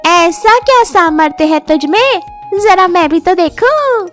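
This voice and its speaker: A woman's voice: very high-pitched, expressive, a little slow, a bit bright, soft background music, pitched above the performer's own voice, exaggerated voice. A woman voicing a cheeky, fearless young male monkey who taunts and lectures in a children's animal fable. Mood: mocking, defiant. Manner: taunting, challenging, preachy.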